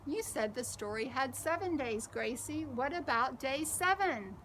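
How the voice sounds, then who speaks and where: A woman voicing an eager, playful little boy in a children's storybook, excitable and fond of play-acting. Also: sits high, a little slow, a little hiss or hum. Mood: eager, asking. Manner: excitable, imitating animals and birds.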